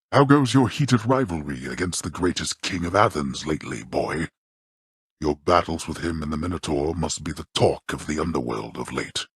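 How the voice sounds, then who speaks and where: An actor voicing a stern, scornful god of the underworld in a video game, with a haughty, formal manner of speech. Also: male voice, extremely low pitch, a bit bright, slightly rough, dry recording, studio quality, full character voice. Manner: haughty, formal archaic diction, disdainful. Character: stern, scornful, imperious male god ruling the underworld, an overbearing father. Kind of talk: scripted video game character lines.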